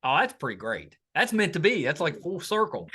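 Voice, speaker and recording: A man's voice: a little high, huge pitch swings, a little fast, bright, forceful tone, breathy edge. A man hosting a podcast interview, upbeat and agreeable, with many short affirmations. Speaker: podcast host. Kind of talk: casual interview.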